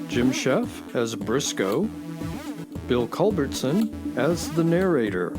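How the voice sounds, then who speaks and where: A man announcing the credits of a radio show. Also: medium pitch.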